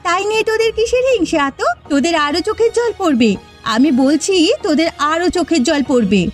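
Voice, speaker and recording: A woman's voice: extremely high pitch, lively intonation, fairly quick. A woman voicing a proud, scheming, quarrelsome village wife in a cartoon, with a scolding, boastful manner. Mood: indignant, taunting. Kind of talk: animated cartoon dialogue. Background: background music.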